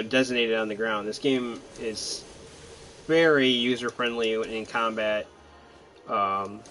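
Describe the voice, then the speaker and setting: A man's voice: lowish voice, fairly bright tone, slight background noise. A man giving casual let's-play commentary in a laid-back, hesitant, rambling way. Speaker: gaming commentator.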